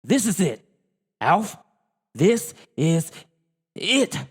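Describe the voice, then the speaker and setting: A man voicing a stern, bossy, exasperated father who scolds loudly in a storybook. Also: high voice, sing-song, extremely slow, slightly rough, voice pushed up, full character voice. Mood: furious. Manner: loud, scolding, theatrical. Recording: long hall-like reverb.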